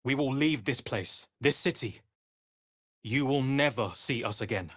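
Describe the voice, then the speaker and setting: A man's voice: mid-range voice, unhurried, a bit bright. An actor playing a grim, weary male vampire spawn in a dramatic video game, with a terse, brooding delivery. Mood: resolute.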